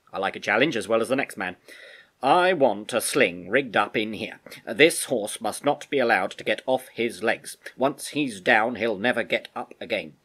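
A man voicing a firm but humane army major in a storybook, speaking in a deliberate, clipped, authoritative way. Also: on the low side, fairly bright tone, slightly rough.

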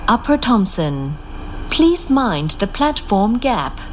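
A woman making scripted train announcements in a formal, clearly enunciated, measured manner. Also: mid-range voice, sing-song, heavy background noise. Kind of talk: scripted recorded transit announcements. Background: loud moving train ambience.

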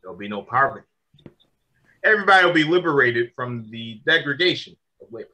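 Radio drama businessman, male actor: brisk, cost-minded, forceful, medium pitch. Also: expressive, rough recording. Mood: assured. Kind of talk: old-time radio drama acting.

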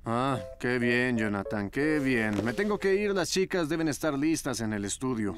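A man plays a mild, polite cartoon photographer. Music is clearly heard behind him. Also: mid-range voice, expressive, normal speed, lightly acted. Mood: deflated. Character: polite, long-serving fashion photographer. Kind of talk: animated series dubbing.

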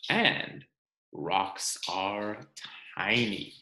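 A man in his thirties reading a children's picture book aloud in a deliberate, explanatory way, with dramatic emphasis on key words. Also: fairly low pitch, expressive, bright, forceful tone. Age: 30s. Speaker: read-aloud presenter. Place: small room at home, indoors. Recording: roomy home recording.

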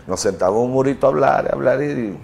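A man's voice: mid-range voice, quick pace, vocal fry, a little mellow. A man in his fifties, born in Italy, speaking as a guest in a TV studio on a lapel mic, telling stories in a loose, rambling way full of fillers. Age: 50s. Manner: rambling storyteller, chuckling, with fillers. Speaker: interview guest. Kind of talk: casual chat.

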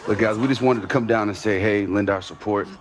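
A man's voice: on the low side, fairly even intonation, brisk, lightly acted. A man playing a polite, humble young quarterback in a game cutscene, talking casually and a little hesitantly. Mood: friendly. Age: young adult. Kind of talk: naturalistic game cutscene acting. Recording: roomy echo, music under the voice.

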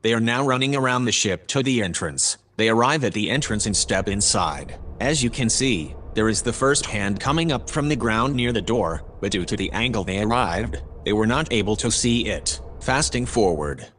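Man as a low-voiced composed analyst, noisy backdrop. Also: expressive, fairly bright tone. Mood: measured, grave. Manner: measured, formal. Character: composed analyst reporting events to his superior. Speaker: voice actor. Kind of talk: audio drama narration. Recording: clear background noise and ambience.

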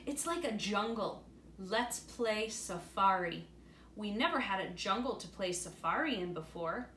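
A woman reading aloud as an excited, wide-eyed young boy in a storybook, with an expressive delivery. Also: medium pitch, measured pace. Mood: playful, content. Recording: roomy echo, faint room noise.

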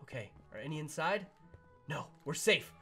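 A woman playing an excitable, jumpy animatronic fox in a chatty, theatrical Minecraft roleplay. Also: low voice, normal speed, over music. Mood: relieved, upbeat. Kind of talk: improvised Minecraft roleplay for a family audience.

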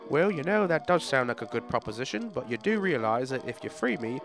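A man's voice: medium pitch, big pitch swings, fairly quick, over music, pitched above the performer's own voice. A man voicing a proud male house dog with a pompous, old-fashioned formal way of speaking. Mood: smug. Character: proud male house dog, self-assured mouse catcher.

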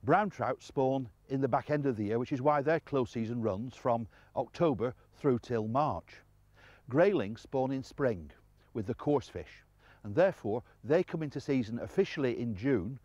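An older man presenting a fly fishing lesson on a riverbank, explaining calmly with quiet enthusiasm, recorded on a clip-on mic. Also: mid-range voice, no room sound, muffled recording. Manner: calm, explanatory, enthusiastic about the fish. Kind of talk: outdoor instructional presenting. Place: outdoors on a river bank.

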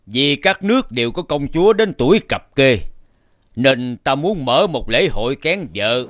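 An actor playing a dignified, authoritative king in an animated fairy tale, speaking formally. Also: medium pitch, unhurried, dry recording. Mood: stately. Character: stately, authoritative king and father.

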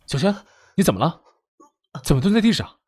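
A man playing a caring, reserved boyfriend in an audio drama, speaking in a gentle, low-key way. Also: a little fast. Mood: concerned. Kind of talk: Chinese audio drama acting.

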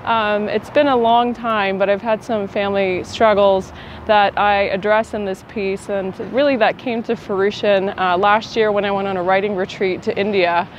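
A woman's voice: mid-range voice, fairly even intonation, moderate pace. A young woman from Colorado, a family lawyer, speaking earnestly outdoors on a lapel mic about her writing. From Aurora, Colorado. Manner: earnest, personal, with um fillers. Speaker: family law attorney. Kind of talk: prepared award acceptance remarks. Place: outdoors in an urban plaza. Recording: dull outdoor recording with street hum.

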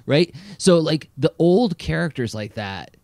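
A man co-hosting a podcast in casual chat, animated and full of fillers. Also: a little high, unhurried, creaky, no room sound, clean studio sound. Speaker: podcast co-host.

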